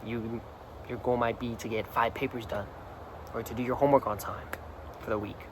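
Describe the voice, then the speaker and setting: A young man talks straight to his phone outdoors, a little hoarse, his earnest thoughts rambling and circling back on themselves. His voice sits at a middling pitch for a man. Faint traffic and outdoor ambience hum behind him. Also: fairly even intonation, a little fast. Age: young adult. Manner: rambling, earnest, repeats words, many "like"s. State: slightly hoarse from singing the night before. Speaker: vlogger. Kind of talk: casual talk to camera. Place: outdoors, hot and sunny.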